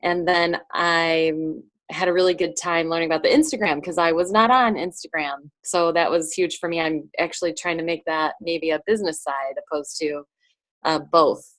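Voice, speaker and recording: A woman with a very low voice sharing with her team on a video call. She speaks softly and hesitantly, pausing on fillers. The sound is dry and close. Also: fairly even intonation, no echo. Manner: soft, halting, with many fillers.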